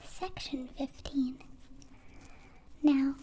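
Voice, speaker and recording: A woman playing a gentle, curious young alien in an ASMR roleplay, mostly whispering in slow, halting, formal phrases. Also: high voice, slight background noise. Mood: calm, matter-of-fact. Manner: slow, halting, formal wording without contractions. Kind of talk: whispered ASMR roleplay, close personal attention.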